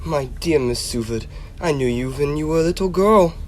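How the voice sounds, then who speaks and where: Man acting a high-pitched, insistent professor. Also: lively intonation, normal speed, a little hiss or hum, lightly acted. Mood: gentle, probing. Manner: formal, probing, commanding. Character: grave, insistent male professor, a vampire investigator. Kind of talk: radio drama acting.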